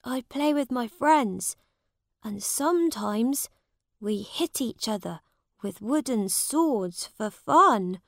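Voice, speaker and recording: A woman's voice: fairly high pitch, expressive, takes it slowly, fairly bright tone, no echo, studio quality, voice pushed up, heavily acted. A woman voicing a poor young storybook boy who is polite, honest and earnest. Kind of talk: storybook character voice.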